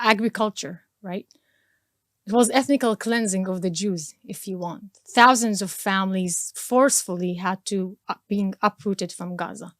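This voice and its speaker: A woman's voice: medium pitch, no echo. A woman from Israel whose first language is Arabic speaks in a mid-range voice, earnest and searching for words as she goes. She often restarts and repeats herself mid-sentence. The recording is dry and close. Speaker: interview guest. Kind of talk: sit-down interview.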